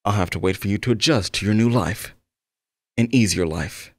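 A man's voice: fairly low pitch, moderate pace, breathy edge, dry recording, studio quality. A man playing an obsessed, possessive young male game developer, tender, pleading and fervent, in an intimate audio roleplay monologue. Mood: coaxing, obsessive.

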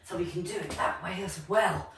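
A woman's voice: on the low side, normal speed, fairly bright tone, a little hiss or hum. A middle-aged woman leading a children's drama class and story time, encouraging and exclamatory as she speaks directly to young children. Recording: roomy echo, distant microphone, music.

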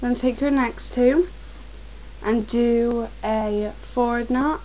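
A woman's voice: a little high, steady tone, dark tone, slight background noise, rough recording. A young woman who is getting a cold, patiently giving step-by-step craft instructions at a home desk. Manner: patient, repetitive instructions, slow and careful at times. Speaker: crafts tutorial maker.

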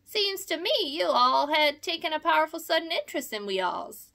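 A woman's voice: high voice, very animated, normal speed, pushed and bright, voice pushed up, heavily acted. A woman reading aloud voices a jolly, teasing old male possum with a drawling folksy dialect.

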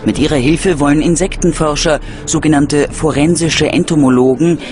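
A man's voice: on the high side, moderate pace. A man reading scripted true-crime documentary narration. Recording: loud music under the voice. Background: loud background music.

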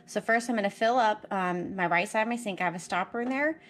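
A woman's voice: mid-range voice, fast talker. A woman casually explaining her kitchen routine step by step in a plain, practical way with some fillers. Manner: plain, practical, talks while working with fillers. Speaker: homesteader showing her routine. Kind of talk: casual how-to narration. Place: home kitchen at the sink. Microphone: camera mounted above the sink. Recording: running water noise behind.

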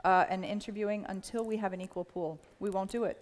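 A middle-aged woman from the United States, speaking on a panel in a measured way with many fillers and restarts. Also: mid-range voice, steady tone. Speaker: panelist, company executive. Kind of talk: panel discussion. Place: large hall with a live audience. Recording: long hall reverb.

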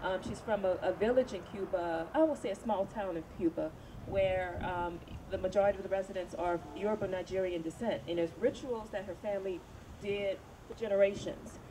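A woman's voice: medium pitch, brisk. A woman in her thirties answering questions on a panel, thoughtful, with many fillers and restarts. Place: outdoor evening event. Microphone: handheld microphone. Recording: distant camera audio, noisy outdoors.

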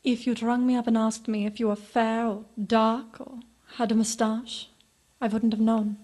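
Radio play female lead: actress as weary, loyal grieving lover, earnest, higher-pitched. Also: little pitch movement, moderate pace, mellow, natural voice. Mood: weary, firm. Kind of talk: radio drama acting.

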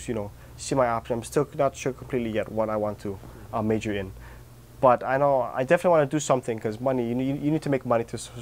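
A man's voice: mid-range voice, a little mellow, a little hiss or hum. Earnest young man from Hawaii. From Kauai, Hawaii. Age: young adult. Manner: earnest, sincere, with frequent fillers and restarts. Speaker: interviewee. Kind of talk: reflective personal interview. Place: outdoor patio with garden.

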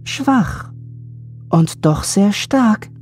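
Male narrator as uncanny boy, raised voice, dark muted tone, grave and emotionless, horror audiobook, music underneath. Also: high-pitched, pitched above the performer's own voice, exaggerated voice. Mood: neutral, reassuring. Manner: flat, emotionless, slow delivery. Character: eerie expressionless supernatural boy, calm and grave.

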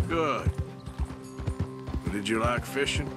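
An actor playing a gruff, wry American outlaw in a Western video game, with a drawl and a dryly sardonic manner. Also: male voice, medium pitch, lively intonation, takes it slowly, over loud music. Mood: reassuring. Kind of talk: dramatic video game acting.

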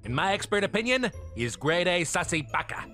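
A man playing a pompous, scheming, suspicious spaceship captain in an animated cartoon, with theatrical, wordy delivery. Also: high voice, a little fast. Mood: suspicious, accusing. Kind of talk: animated web cartoon acting. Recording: loud music behind the voice.

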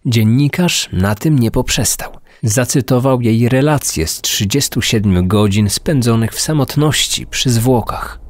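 A man hosting a true-crime podcast with serious, deliberate scripted narration. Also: medium pitch. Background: faint music.

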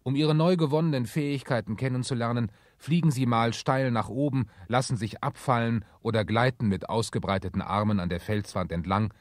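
A man's voice: mid-range voice, a little fast, hardly any pauses, no room sound, studio quality, natural voice. A man narrating an adventure radio play as its scripted storyteller. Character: storyteller of an adventure audio drama.

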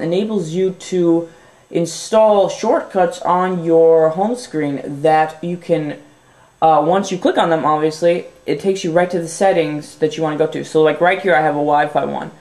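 A young man hosting a casual phone how-to, his voice a little stuffy from a runny nose. He talks in a relaxed, upbeat way with plenty of fillers, his pitch sitting a touch high. The room around him is noticeably echoey. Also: moderate pace. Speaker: tech video host.

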